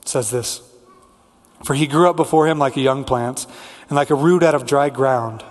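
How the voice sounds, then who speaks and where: A man's voice: medium pitch, normal speed. Male preacher in a reverberant hall. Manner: earnest, rhetorical repetition and listing. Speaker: pastor preaching to a congregation. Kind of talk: sermon with prayer and scripture reading. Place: large reverberant church room.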